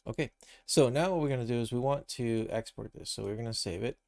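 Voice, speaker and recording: A man with a creaky, gravelly voice hosts an informal tutorial stream. He thinks aloud, explaining step by step in a casual way. The sound is dry and close. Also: mid-range voice, fast talker, darkish tone, dry recording. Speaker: livestream host, game developer tutor. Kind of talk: casual live software tutorial.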